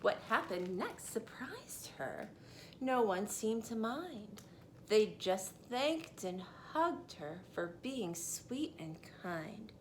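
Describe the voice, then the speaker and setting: Slow, gentle woman reading a picture book. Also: on the high side, very animated, a little hiss or hum. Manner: slow, gentle, rhyming storytelling cadence. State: tired. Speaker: mother reading aloud for children's classes. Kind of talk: picture-book read-aloud.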